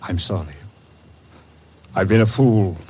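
An actor playing an anguished, remorseful father who speaks in a halting, pleading way, in 1940s radio acting. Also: low voice, breathy edge, dull audio, full character voice.

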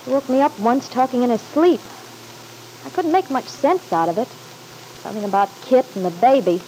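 A woman's voice: high voice, expressive, moderate pace, darkish tone, poor audio. Wisecracking young woman, hissy old radio. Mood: concerned, chatty. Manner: slangy, breezy tough-gal talk. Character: wisecracking, guarded young woman, cynical about love. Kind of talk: old-time radio soap opera acting. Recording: hissy vintage radio recording.

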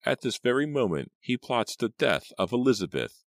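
A man voicing a stooped old woman from a horror storybook, slow and commanding, with a deep, raspy, gravelly croak. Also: big pitch swings, no echo, studio quality. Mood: grave warning. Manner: slow, commanding, ominous pronouncements. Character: menacing, stooped old crone fortune-teller in woods.

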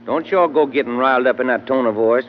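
A man's voice: medium pitch, quick pace, close to an everyday voice. An actor playing a folksy, bossy henchman with an American accent in an old-time radio adventure. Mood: placating, folksy. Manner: folksy rustic phrasing. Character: folksy, drawling male henchman gunman, gruffly bossy. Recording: dull, noisy vintage radio recording.

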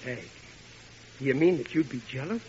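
An actor playing a bumbling, eager husband who stammers when flustered, in old-time radio sitcom style. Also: male voice, mid-range voice, animated, normal speed, a little mellow, lightly acted. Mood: eager, devoted. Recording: muffled, hissy vintage radio broadcast.

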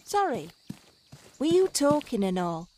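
A woman's voice: sits high, very animated, unhurried, darkish tone, a little hiss or hum. A woman playing a frenetic, dismissive female tracker in an audio drama, snippy and exclamatory.

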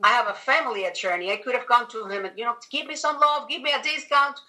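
Female guest, middle-aged, Venezuelan accent, native Spanish speaker, bright higher voice, chatty and emphatic. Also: quick pace. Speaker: podcast guest. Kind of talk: conversational personal storytelling in an interview.

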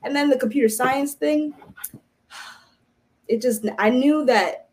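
A woman's voice: high voice, takes it slowly, a little mellow. A young woman from Haiti, a native Creole speaker, chatting casually as a podcast guest in a rambling way, full of restarts and "like". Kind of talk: casual conversation.

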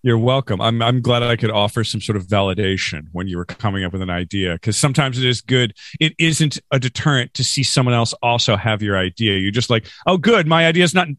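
A man's voice: medium pitch, animated, a little fast, hardly any pauses, a bit bright, dry recording. Chatty man with a dry, close sound. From Western New York. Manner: rambling, self-deprecating, laughs often. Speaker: podcast guest. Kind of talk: casual interview chat.

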